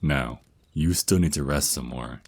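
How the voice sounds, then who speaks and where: A man's voice: extremely low pitch, unhurried, slightly rough, heavily acted. A man playing a tender, reassuring vampire naga mentor and boyfriend in an ASMR roleplay, speaking soothingly and affectionately.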